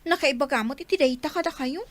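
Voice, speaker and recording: An actress playing a spoiled, defiant student daughter in an Ilocano radio drama, pouty and whining, with an Ilocano accent. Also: female voice, high voice, fast talker, no echo, close to an everyday voice. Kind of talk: Filipino radio drama acting.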